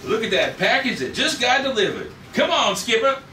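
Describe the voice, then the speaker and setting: A man voicing a leering, fun-loving sidekick in a playful, drawn-out delivery at a table read. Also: very high voice, very animated, sharp tone, full character voice. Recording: noisy echoey room, distant mic.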